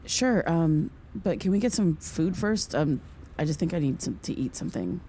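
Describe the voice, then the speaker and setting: An actress playing a pensive woman in her thirties in an audio drama, speaking casually and haltingly as she confides like a podcast host. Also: low-pitched, lively intonation, warm tone, slight background noise. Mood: subdued. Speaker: amateur investigator and podcast host.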